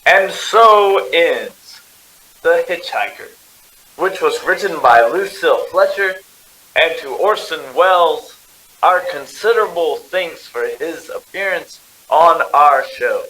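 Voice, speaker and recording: A man announcing in old-time radio style with a formal, deliberate delivery. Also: on the high side, lively intonation, sharp tone, rough recording.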